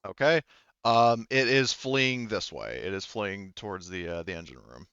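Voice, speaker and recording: A man narrating a tabletop roleplaying game casually as game master, improvising in a halting, rambling way and rephrasing as he goes. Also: lowish voice, fairly bright tone, muffled recording, slight background noise. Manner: halting, rambling, often rephrasing mid-sentence.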